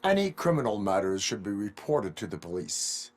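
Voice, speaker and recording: An actor playing a stoic, rigidly lawful cyborg police officer in a video game, speaking in clipped, formal, deadpan phrases. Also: male voice, lowish voice, moderate pace. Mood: stern, flat. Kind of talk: video game cutscene acting.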